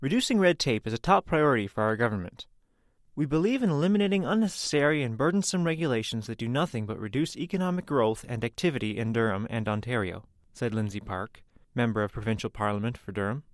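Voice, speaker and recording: A man's voice: mid-range voice, lively intonation, fast, dry recording. Male news reader, very dry sound. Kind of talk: scripted news narration.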